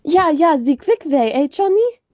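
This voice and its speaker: A woman's voice: high voice, lively intonation, measured pace, darkish tone. A young woman playing a nervous, squeamish doctor sidekick in a radio play. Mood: anxious.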